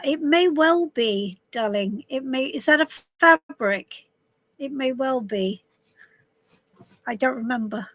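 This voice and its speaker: A middle-aged woman from southwest London, her voice a little husky, chatting casually to viewers on a live stream from inside a camper van, calling people darling. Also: high voice, no echo, rough recording. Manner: chatty, warm greetings to viewers, calls people darling and love. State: a bit husky voice. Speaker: tarot reader hosting a live stream.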